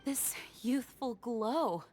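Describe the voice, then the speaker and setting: Dignified, composed knight commander. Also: female voice, a little high, animated, extremely slow, darkish tone. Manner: formal, composed. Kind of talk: anime video game voice acting. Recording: game music behind the voice.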